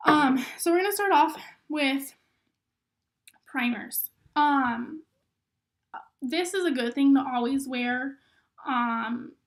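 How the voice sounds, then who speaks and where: High-voiced young woman, slightly hoarse from a cold, casual rambling tutorial delivery. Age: young adult. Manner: chatty, filler-heavy, lots of "um" and "like". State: hoarse, recovering from illness. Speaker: rodeo queen giving makeup tips.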